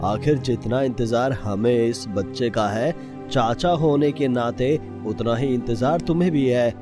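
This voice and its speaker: A man acts a kindly storybook king in a cartoon. He speaks with regal formality at a medium pitch, with music clearly behind him. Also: unhurried, lightly acted. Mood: joyful. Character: kindly, dignified king of a fairy-tale kingdom.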